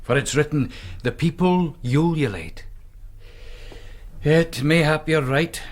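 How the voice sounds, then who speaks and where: An actor playing an earnest, courteous young Scottish Presbyterian minister in a radio drama, educated and slightly hesitant. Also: male voice, on the high side, slow pace. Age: young adult.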